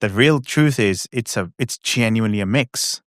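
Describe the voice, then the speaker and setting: Low-voiced host, mixed Australian-British accent. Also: male voice, moderate pace, fairly bright tone, dry recording, studio quality. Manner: chatty, rambling, self-deprecating. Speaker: podcast host. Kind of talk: casual interview chat.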